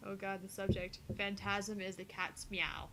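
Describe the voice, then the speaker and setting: A woman's voice: medium pitch, level tone, unhurried, sharp tone, slight background noise. A woman co-hosting a visual novel read-along, reading dialogue aloud with playful, casual banter and the odd stumble over long phrases.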